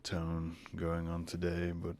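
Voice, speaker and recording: A man with a Northern English accent, hoarse and croaky today, rambling through a casual solo podcast in a self-deprecating way. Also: low voice, monotone, slow, a little mellow. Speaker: podcast host and artist. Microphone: desk microphone close to face.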